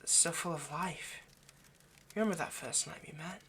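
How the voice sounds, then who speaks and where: A man's voice: a little high, normal speed, pushed and bright. A man playing a nervous, centuries-old vampire kidnapper in an intimate ASMR roleplay, hesitant, stammering and apologetic as he speaks to the listener. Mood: tender confessional. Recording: faint background noise.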